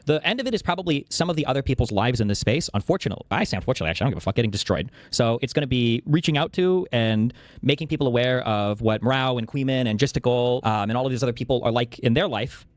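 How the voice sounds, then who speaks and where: Guy chatting online casually, talks super fast, dry sound no room echo. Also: medium pitch, animated.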